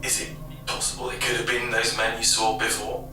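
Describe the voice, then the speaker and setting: An actor playing a polite academic investigator, putting courteous, formal, probing questions to a witness in a taped audio drama interview. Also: male voice, mid-range voice, completely flat delivery, a little fast, over music, natural voice. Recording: echoey, faintly noisy tape, distant microphone.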